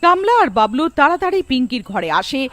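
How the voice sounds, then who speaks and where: A woman narrating a scripted horror tale for a cartoon in a dramatic, expressive storytelling manner. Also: high voice, moderate pace, slight background noise.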